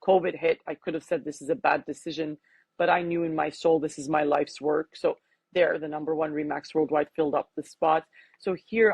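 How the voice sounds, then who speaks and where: A woman's voice: fairly low pitch, creaky, breathy edge, no room sound. A middle-aged woman from Canada with a mixed accent, a life coach speaking enthusiastically as an interview guest. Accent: mixed, Canadian with hints of Armenian and British. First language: Armenian. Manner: enthusiastic, motivational, with frequent laughs. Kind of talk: interview conversation.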